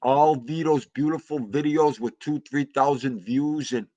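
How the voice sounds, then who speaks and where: A man's voice: fairly high pitch, steady tone, measured pace, mellow, no room sound, muffled recording. A man hosting a casual livestream chat, greeting viewers and laughing often. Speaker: livestream host.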